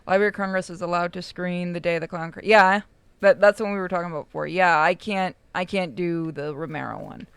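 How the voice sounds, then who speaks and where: A woman's voice: fairly low pitch, steady tone, fast, clear, resonant tone, dry recording. A woman chatting casually and candidly on a game livestream, swearing now and then. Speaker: game streamer.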